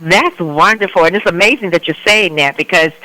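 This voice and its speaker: A woman's voice: fairly low pitch, fairly quick, sharp tone, no echo, low-quality recording. A woman hosting an afternoon radio talk show, chatty and enthusiastic as she interviews a guest. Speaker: radio host. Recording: radio broadcast, dry.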